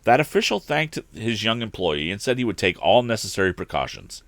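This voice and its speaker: A man reads an adventure story aloud in an expressive narrator's style. His voice sits a little low and moves with very lively intonation. The sound is close and dry. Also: a little fast, gravelly, no echo. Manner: expressive read-aloud delivery. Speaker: storyteller, live stream host.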